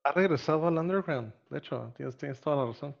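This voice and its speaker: A man's voice: fairly high pitch, fairly quick, gravelly, highs cut off. A Costa Rican-born man, hosting a casual interview, laid-back and rambling, laughing often. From Costa Rica. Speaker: podcast host.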